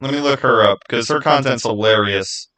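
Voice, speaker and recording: A man's voice: mid-range voice, normal speed, sharp tone, poor audio. A man hosting a casual livestream, rambling and thinking aloud as he answers viewers by name. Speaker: livestream host.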